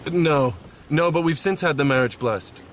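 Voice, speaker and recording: A man's voice: fairly high pitch, moderate pace, slight background noise, natural voice. An actor playing an anxious father pleading with a border officer, hesitant, stammering and evasive, in an audio drama. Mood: nervous, evasive.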